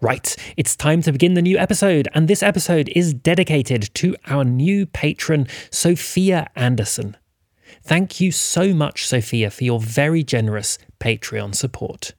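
A man's voice: fairly high pitch, animated, normal speed, no echo, studio quality. A man reading scripted announcements as a podcast host.

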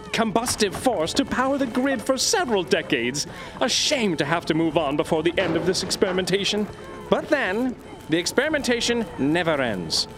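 Wildly swooping, theatrical mad scientist. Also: male voice, high voice. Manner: theatrical, clinical, verbose. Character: eccentric doctor, a coldly gleeful mad scientist. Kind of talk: audio drama acting, storytelling monologue.

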